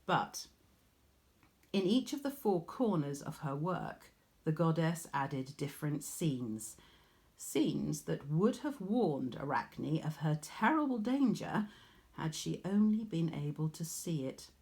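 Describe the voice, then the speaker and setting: Slow, lowish-voiced woman storyteller. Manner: measured, expressive storytelling. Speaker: teacher reading a story aloud. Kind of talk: scripted storybook narration.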